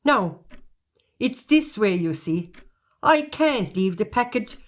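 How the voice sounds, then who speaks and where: A woman's voice: medium pitch, lively intonation, slow pace. A woman plays a gossipy country post carrier with an Irish accent and a mid-range female voice. The talk is folksy, sly and roundabout, in amateur stage-play style. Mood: teasing, stubborn. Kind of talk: amateur stage comedy-drama acting.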